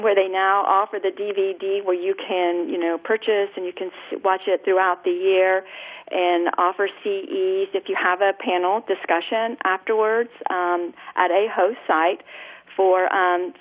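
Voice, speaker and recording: Lady giving updates on a conference call, thin phone audio. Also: on the low side. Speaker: guest presenter giving organisational updates. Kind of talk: informal spoken update on a call. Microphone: telephone call. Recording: narrow, muffled telephone line.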